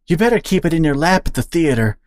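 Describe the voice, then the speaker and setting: A woman's voice: sits low, very animated, quick pace, a little mellow, no room sound, voice pushed up. Woman doing a dry matter-of-fact otter. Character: dry, steady female otter shop owner.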